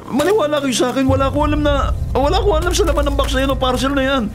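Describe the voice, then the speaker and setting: A man's voice: extremely high pitch, very fast. A man acting a young delivery rider in a radio drama, desperate and insistently pleading his innocence. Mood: panicked pleading. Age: young adult. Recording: music bed under the voice.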